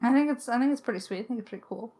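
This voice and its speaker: A woman's voice: on the high side, very fast, dark tone. A woman co-hosting a podcast, chatting casually and playfully in an informal Q&A. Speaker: podcast co-host and audio drama writer. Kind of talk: casual Q&A chat.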